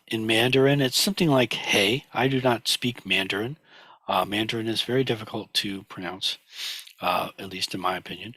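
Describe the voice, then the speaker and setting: A man teaching a class over slides, a little hoarse from a cold, halting with many fillers and restarts. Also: on the low side, pushed and bright, no room sound. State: recovering from a cold, slightly hoarse.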